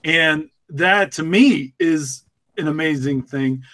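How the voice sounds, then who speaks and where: Male podcast producer, somewhat high register, earnest, halting, conversational delivery. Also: fairly bright tone. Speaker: podcast producer who picks stories. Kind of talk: casual podcast chat.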